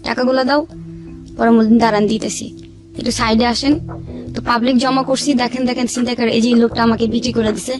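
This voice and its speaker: A woman's voice: on the high side, little pitch movement, fast. A young woman tells a personal life story, repeating phrases as she goes. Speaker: guest telling her life story. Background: background music.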